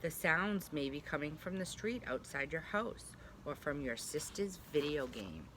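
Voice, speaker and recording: A woman's voice: low-pitched, animated, unhurried, background noise. A woman pre-K teacher reading a picture book aloud to young children, speaking simply and directly to them with little check-in questions.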